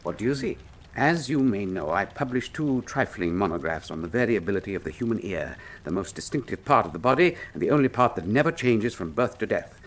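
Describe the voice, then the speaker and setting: An actor playing a brilliant, self-assured Victorian detective in a radio mystery, with a wry, precise, formal manner. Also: male voice, fairly low pitch, animated, brisk. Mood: analytical. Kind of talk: full-cast radio mystery drama acting.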